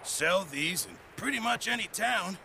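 An actor playing a fussy, grumbling middle-aged camp cook, blustery and plaintive, in a video game cutscene. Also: male voice, sits high, animated, unhurried. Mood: friendly. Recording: clear background noise.

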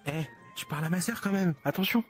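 A man's voice: sits high, fast talker, husky, soft background music. A man in a roleplay game, teasing and mock-outraged. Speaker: roleplay player. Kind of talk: casual roleplay banter.

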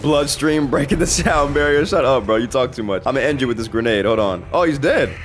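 A man's voice: mid-range voice, animated, fairly quick, faint music behind. A young man giving casual, slangy gameplay commentary with lots of swearing. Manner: slangy, swearing, laid-back reactions. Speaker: gaming streamer.

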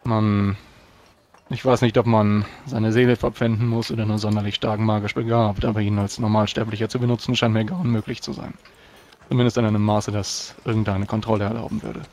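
A man speaking formally and deliberately as a proud, pious knight in a tabletop role-playing game. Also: lowish voice, flat delivery, a little mellow. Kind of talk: in-character role-play narration. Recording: clear background noise.

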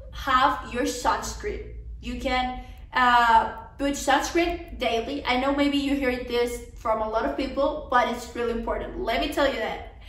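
A woman's voice: sits high, fairly bright tone. A young woman, giving an earnest, encouraging devotional talk to a women's conference. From Colombia. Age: young adult. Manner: earnest and encouraging, some restarts. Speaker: conference speaker. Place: living room, seated on a sofa. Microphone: camera across the room. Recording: roomy, from a distance.

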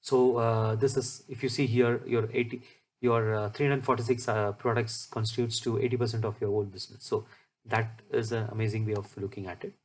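Guy doing a screen demo voiceover of business software, explains stuff step by step, kinda halting, medium pitch. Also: level tone. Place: voice-over for a screen recording.

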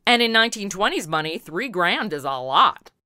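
A woman's voice: medium pitch, big pitch swings, normal speed, sharp tone, clear, resonant tone, no echo, clean studio sound. An American woman with a perky, chatty, slangy manner, hosting a scripted, playful podcast interview. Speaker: podcast host.

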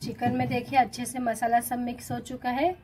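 A woman's voice: fairly high pitch, little pitch movement, a little fast. A woman with an Indian accent giving a step-by-step recipe voice-over as a home cooking host. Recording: clearly noisy home recording.

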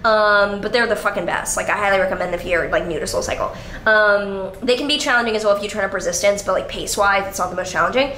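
Young woman, high bright voice, casual rambling vlog delivery full of fillers, recorded on an arm's-length camera in a roomy apartment. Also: fast, a little hiss or hum. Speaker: vlogger. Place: apartment living room. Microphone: handheld camera at arm's length. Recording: echoey room with faint ambience.

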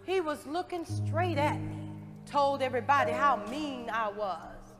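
A woman acts a fervent, folksy maid with a high voice. She speaks out slowly and emphatically in a stage musical, in a hall with long reverb. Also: music in the background. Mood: spirited testifying. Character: devout, outspoken maid full of fervent faith. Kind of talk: musical stage play acting. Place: theatre stage.

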